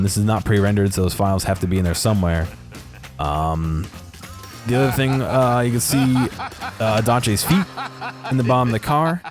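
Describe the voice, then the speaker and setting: A man's voice: mid-range voice, a little mellow. A man giving casual, unscripted video game commentary, hesitantly thinking aloud as he goes.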